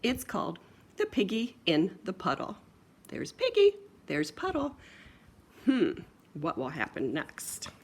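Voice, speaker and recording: A woman storyteller reads a picture book aloud with hugely animated pitch. Her delivery is playful and sing-song, with a husky, breathy tone and chatty asides. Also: slow pace, long pauses.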